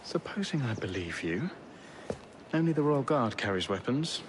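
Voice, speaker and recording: An actor playing a proper, exasperated police officer with an earnest, grumbling manner in a video game cutscene. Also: male voice, medium pitch, sing-song, moderate pace, natural voice. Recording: noisy ambience behind voice.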